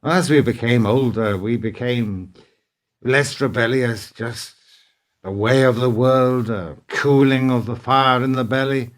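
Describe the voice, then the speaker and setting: An actor playing a weary, reproachful middle-aged business partner in a radio play, speaking in an earnest, measured way. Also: male voice, medium pitch, close to an everyday voice. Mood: weary, resigned.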